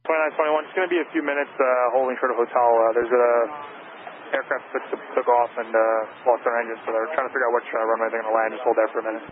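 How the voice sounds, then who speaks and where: Fast-talking male air traffic controller on noisy radio. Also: medium pitch, flat delivery, narrow, tinny audio. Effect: aviation radio. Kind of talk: live radio traffic control instructions and updates.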